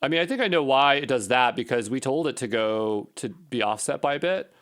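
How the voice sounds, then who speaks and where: A man's voice: mid-range voice, lively intonation, fairly quick, fairly bright tone, clean tone. Male programmer, mid-range voice, casual think-aloud screencast delivery, informal and self-muttering. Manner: informal, muttering to himself while troubleshooting. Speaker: programmer recording a coding session.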